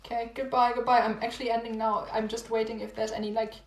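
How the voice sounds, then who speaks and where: A young woman with a fairly high, slightly hoarse voice chats to her livestream viewers in a loose, rambling way, repeating lines and laughing softly. She sounds like she is losing her voice. The room around her is noticeably echoey. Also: level tone, fast. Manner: rambling, repeats herself, giggly. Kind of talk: casual livestream chat with viewers. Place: home room.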